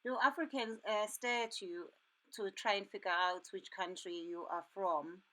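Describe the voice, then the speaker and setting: A woman's voice: medium pitch, moderate pace, sharp tone, rough recording. Playful woman host on a webcam. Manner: playful, teasing questions. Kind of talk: casual video-call chat. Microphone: webcam on a video call.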